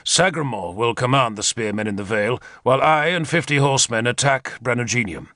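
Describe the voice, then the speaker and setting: A man's voice: mid-range voice, fairly quick, sharp tone, dry recording, studio quality, natural voice. A man voicing a confident male war leader who eagerly lays out battle plans, in a decisive, commanding manner. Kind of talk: audiobook character reading.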